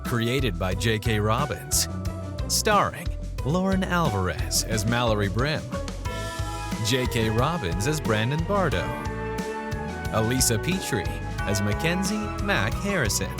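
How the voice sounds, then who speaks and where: A man's voice: medium pitch, sing-song, unhurried. A man announcing the scripted opening credits of an audio drama. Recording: loud music under the voice. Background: loud instrumental music.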